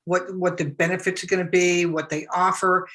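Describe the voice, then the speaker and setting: Bright-voiced woman giving a chatty tutorial. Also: fairly low pitch, flat delivery, moderate pace. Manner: chatty, repeats herself, many fillers and restarts. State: losing her voice. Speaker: business blogger teaching online marketing. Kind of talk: casual screen-share tutorial.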